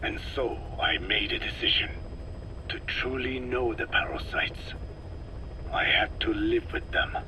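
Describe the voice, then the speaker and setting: An actor playing an ancient, soft-spoken scientist in a video game, with a breathy aged voice and a measured, formal way of telling things. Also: male voice, steady tone, lightly acted. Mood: reflective, intense. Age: older. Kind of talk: dramatic video game dialogue. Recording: noisy cassette tape with vehicle-like rumble.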